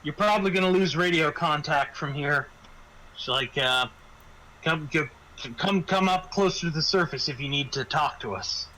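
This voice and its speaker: A man narrating a tabletop roleplaying game as game master, halting and repeating words as he improvises. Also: on the high side, pushed and bright, a little hiss or hum, low-quality recording.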